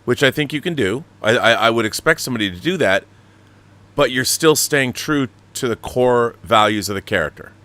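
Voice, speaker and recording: A man hosting a conversational interview, building up to his questions deliberately with some restarts. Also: mid-range voice, lively intonation, a bit bright. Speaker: host, interviewer.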